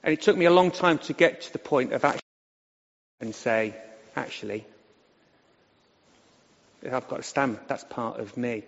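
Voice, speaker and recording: A middle-aged vicar who stammers on some words, preaching a sermon from the front of a church. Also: male voice, medium pitch, expressive, normal speed, pauses often. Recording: echoey hall, dull lo-fi sound.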